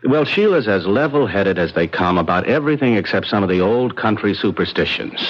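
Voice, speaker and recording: Husky, hospitable cousin. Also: male voice, lowish voice, expressive, brisk. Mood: apologetic, reassuring. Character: hospitable, easygoing Irish cousin, warm and coaxing family man. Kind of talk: 1970s radio drama acting. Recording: dull old radio recording.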